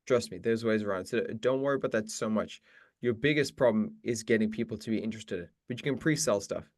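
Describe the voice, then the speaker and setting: A man's voice: on the low side, fast talker, no echo, studio quality. A man hosting a live Q and A for meditation teachers, chatty and encouraging, often restarting his sentences. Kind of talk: casual live-stream chat answering questions.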